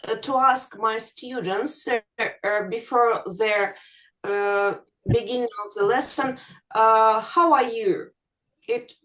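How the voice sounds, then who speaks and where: A woman's voice: a little high, fairly bright tone, low-quality recording. A woman with a Russian accent chatting in an online meeting, hesitant and given to giggling. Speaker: English teacher. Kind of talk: casual chat in an online group meeting. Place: online video call.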